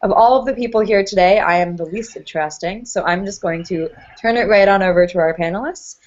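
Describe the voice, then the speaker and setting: A woman's voice: medium pitch, brisk, darkish tone. Woman, panel moderator, upbeat, video call, muffled audio. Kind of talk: friendly panel introduction.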